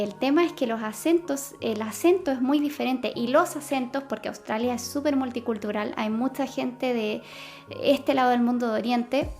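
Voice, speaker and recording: A woman's voice: a little high, darkish tone. Chatty Chilean woman explaining science. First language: Spanish. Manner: chatty, many fillers and self-corrections. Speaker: science vlogger. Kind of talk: casual explanatory vlog.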